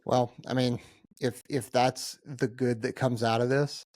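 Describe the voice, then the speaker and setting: A man's voice: medium pitch, level tone, a little slow, a little mellow, dry recording, studio quality. An American man hosting a casual podcast conversation in an informal, conversational way. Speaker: podcast host.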